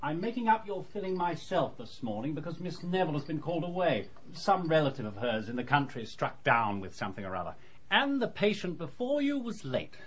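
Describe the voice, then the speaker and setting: Radio drama: English dentist, highish lively voice, talkative and pleased with himself. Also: male voice, slightly rough, full character voice. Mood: chatty. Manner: chatty, brisk, self-assured bedside patter. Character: chatty, self-satisfied male English dentist, briskly professional.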